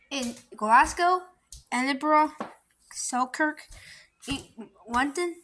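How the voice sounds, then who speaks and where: A formal emergency standby announcer a woman acting speaks slowly and haltingly for a broadcast drama. The voice is somewhat high, with faint noise in the recording. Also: fairly bright tone, rough recording. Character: female TV continuity announcer giving emergency standby notice.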